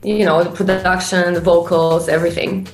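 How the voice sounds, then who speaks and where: A woman's voice: fairly low pitch, little pitch movement, moderate pace. A young woman with a Romanian accent talks casually as an interview guest, filling her answers with "um" and "like" as she restarts her sentences. Her voice carries a roomy echo, with faint music playing beneath it. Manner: chatty, many fillers and restarts. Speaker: singer-songwriter guest. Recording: echoey room, faint music.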